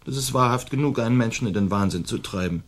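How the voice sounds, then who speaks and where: A man's voice: medium pitch, quick pace, natural voice. An actor in a German radio play, playing a languid, dutiful young nobleman who talks in a formal, plaintive way. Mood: weary dismay. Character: languid young nobleman, peace-loving, dutiful, weary son.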